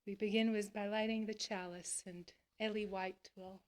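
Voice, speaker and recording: A woman minister addressing a congregation in a church service, reading and speaking in measured, deliberate phrases. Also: medium pitch, fairly even intonation. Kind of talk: worship address, part spoken, part read aloud.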